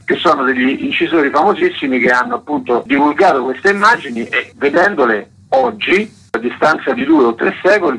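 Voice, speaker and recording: A man's voice: medium pitch, a bit bright, husky. A man, an author being interviewed on radio, explaining things with enthusiasm and emphasis over a tinny link. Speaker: interviewed author and researcher of Rome's monuments.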